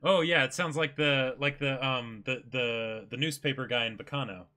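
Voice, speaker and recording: A man's voice: mid-range voice, normal speed, pushed and bright. A man in his twenties chatting casually and reading aloud in a small room. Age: 20s. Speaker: co-reader in a dramatic manga reading. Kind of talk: casual chat and read-aloud. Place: small indoor room, seated.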